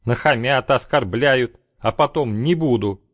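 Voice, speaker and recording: A man voicing a sulky, aging male prisoner with a creaky, hoarse voice and a grumbling, touchy way of talking. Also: mid-range voice, animated, slow pace, no room sound, dull audio, voice pushed up.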